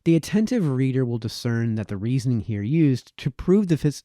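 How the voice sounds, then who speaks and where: A man reading a formal historical essay aloud as narrator, in a deliberate, expository manner with varied emphasis. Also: medium pitch, mellow, no echo, clean studio sound. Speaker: reader, narrator.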